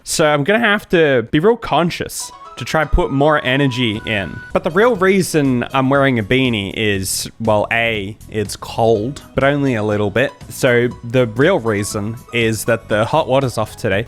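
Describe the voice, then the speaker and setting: An Australian man talks casually to his stream in a fairly high voice that swings up and down a lot. He rambles in a chatty, joking way. Music plays along behind him. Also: a bit bright. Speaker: YouTuber and streamer. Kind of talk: casual livestream chat.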